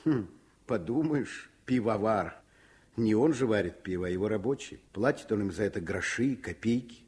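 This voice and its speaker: Principled doctor and loving dad, rough-edged lowish voice, emphatic moralizing, vintage radio theatre. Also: male voice, expressive, moderate pace, darkish tone. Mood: scornful indignation. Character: principled doctor father, fond of daughter, indignant at injustice. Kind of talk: 1950s Soviet radio play acting.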